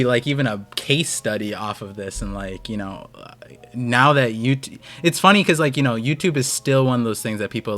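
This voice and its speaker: A man's voice: medium pitch, a bit bright. A man hosting a stream, talking casually and rambling with lots of restarts. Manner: rambling, many fillers and restarts. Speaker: host.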